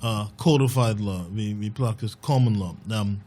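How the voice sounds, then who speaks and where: Bahamian host asking questions. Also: male voice, fairly low pitch, normal speed, darkish tone. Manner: poses questions with hesitant restarts. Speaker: talk show host. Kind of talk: moderating panel discussion.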